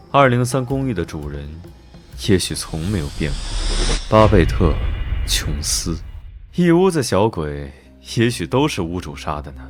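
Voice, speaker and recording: A man playing an aloof, commanding nobleman in an animated drama, measured and detached with a dry, teasing edge. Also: deep voice. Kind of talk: Chinese animated drama voice acting.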